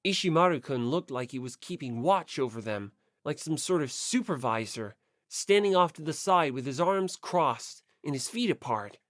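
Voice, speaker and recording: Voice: medium pitch, expressive, normal speed, a bit bright, no room sound, studio quality. An audiobook narrator reading a first-person story expressively.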